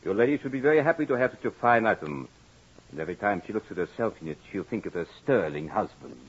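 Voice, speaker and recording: A miserly old antique dealer speaks low, with curt, formal sarcasm. It is an old radio horror drama, muffled and slightly noisy. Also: male voice, animated, fast. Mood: dry, sardonic. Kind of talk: 1940s radio horror acting.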